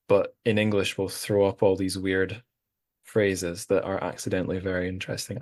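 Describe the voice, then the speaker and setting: A man hosting a podcast, talking in a relaxed way and thinking aloud with fillers. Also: low-pitched. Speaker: podcast host. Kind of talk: casual conversation.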